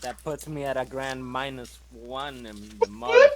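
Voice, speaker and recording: A man's voice: medium pitch, huge pitch swings, measured pace, slight background noise. A man playing a tabletop role-playing game, bantering casually and swearing freely. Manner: profane, bantering.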